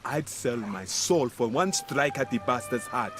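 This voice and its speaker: A man's voice: medium pitch, expressive, measured pace, background noise, lightly acted. A man playing a grim, embittered city guard in a fantasy video game, speaking in a brooding, earnest, dramatic way. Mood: bitter, brooding. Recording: game music behind.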